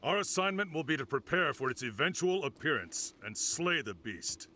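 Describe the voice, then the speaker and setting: An actor playing a resolute, authoritative commander in a video game, giving firm and formal orders. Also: male voice, a little high, big pitch swings, normal speed, pushed and bright, a little hiss or hum. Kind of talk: dramatic video game cutscene dialogue.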